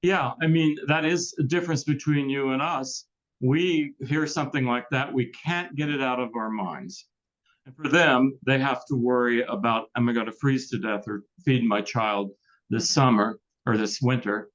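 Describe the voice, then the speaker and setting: A man's voice: medium pitch, moderate pace, fairly bright tone, muffled recording. A man moderating a club talk who relays questions and explains points earnestly.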